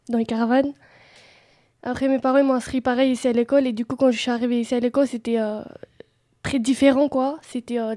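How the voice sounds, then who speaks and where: High, mellow young woman. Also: no echo, studio quality. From Romania. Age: young adult. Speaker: youth contributor giving testimony. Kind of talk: casual personal storytelling.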